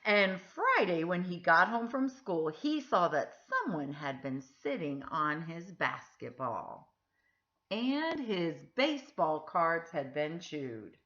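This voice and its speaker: Animated woman reading a children's story. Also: lowish voice, highs cut off. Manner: animated, deliberate, emphatic phrasing. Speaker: reader of a children's picture book, teacher. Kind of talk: expressive read-aloud storytelling for children.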